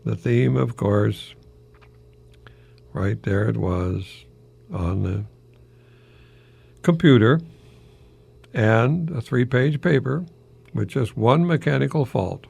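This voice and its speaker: A man's voice: mid-range voice, slow pace, creaky, long pauses, a little mellow, breathy edge, no room sound. An older man, a retired English professor hosting a folksy radio monologue, musing hesitantly with rhetorical questions and repeated phrases.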